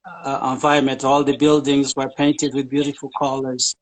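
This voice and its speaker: A man's voice: mid-range voice, little pitch movement, normal speed, poor audio. A man from Haiti, a charity founder being interviewed, talking casually with frequent fillers and restarts. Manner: hesitant, many fillers and restarts.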